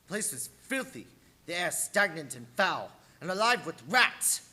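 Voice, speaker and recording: An actor playing an earnest, tense young husband in a radio play, reporting in clipped dramatic diary style. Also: male voice, high-pitched, sing-song, a little slow, bright, forceful tone, slightly rough, heavily acted. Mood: weary, tense. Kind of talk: live radio play acting.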